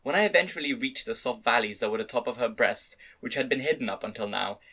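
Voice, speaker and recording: A man's voice: mid-range voice, quick pace, sharp tone, rough recording. A man narrating a first-person story as an amateur dramatic reading. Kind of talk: dramatic reading of fan-read light novel narration.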